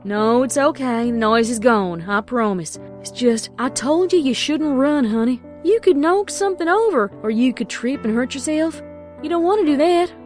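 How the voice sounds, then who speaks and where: A woman's voice: high-pitched, animated, moderate pace, darkish tone, faint music behind. A woman voicing a caring, protective big-sister farm pony from a cartoon, folksy, with a Southern US accent. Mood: soothing, concerned.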